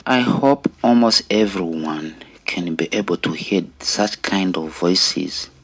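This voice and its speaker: A middle-aged man with a Rwandan accent giving an earnest personal testimony in deliberate, short phrases with pauses. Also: sits low, slightly rough. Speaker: storyteller sharing own life story.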